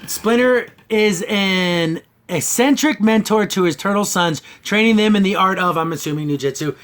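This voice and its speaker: A man's voice: high voice, normal speed, fairly bright tone. A man hosting a casual review podcast about cartoons, talking in an exasperated, mocking way. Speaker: podcast host.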